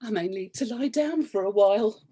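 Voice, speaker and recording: A woman's voice: medium pitch, moderate pace, dark tone, husky, natural voice. An actress with a British accent playing a prim, flustered married woman, coy and curious, in a radio comedy. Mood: flustered, giggly. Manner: coy, flustered, innuendo-laden delivery with nervous chuckles. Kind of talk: radio play comedy acting, confessional monologue and banter.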